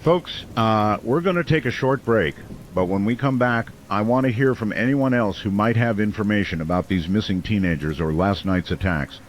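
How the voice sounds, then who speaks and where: A man's voice: fairly low pitch, normal speed, lightly acted. A man playing a concerned late-night radio host in an audio drama about a small town. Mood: grave. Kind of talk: radio drama talk-show hosting. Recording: noisy, rain ambience behind.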